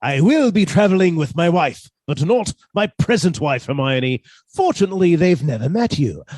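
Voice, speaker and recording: A man's voice: fairly high pitch, very animated, normal speed, darkish tone, dry recording. A man playing a henpecked husband turned murderer in a radio suspense drama, fussy, precise and politely menacing. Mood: gloating, menacing.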